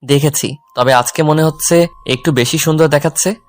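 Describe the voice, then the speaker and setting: A man's voice: fairly high pitch, normal speed, faint music behind, lightly acted. A man playing a jealous, teasing young husband in a dramatized romantic audio story. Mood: teasing, tender. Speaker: narrator and male lead of an audio love story.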